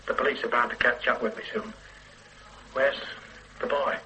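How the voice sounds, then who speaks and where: A man playing an older father on the run in a radio drama, soft and halting as he pleads with his grown daughter. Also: mid-range voice, flat delivery, slight background noise, low-quality recording. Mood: wistful.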